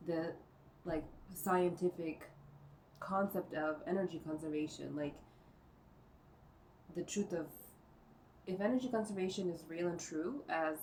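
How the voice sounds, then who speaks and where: A woman vlogging from home, thinking out loud in a rambling way, full of fillers and cursing. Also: sits low. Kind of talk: rambling think-aloud monologue. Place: indoors at home. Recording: distant mic with faint noise.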